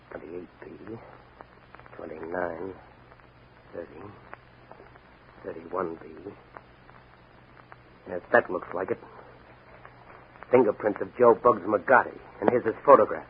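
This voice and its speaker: A man playing a tough, confident young masked crimefighter in a 1940s radio drama, American accent, commanding and wry. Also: on the low side. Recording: crackly, narrow-band 1940 radio recording.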